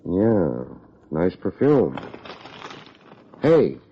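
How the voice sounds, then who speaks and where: An American actor playing a wry, self-deprecating private investigator in an old-time radio drama, with a deadpan, wisecracking delivery. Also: male voice, low voice, drawn-out words, vocal fry, a little hiss or hum, exaggerated voice. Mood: dry, probing. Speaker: lead actor, narrator. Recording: muffled old radio recording.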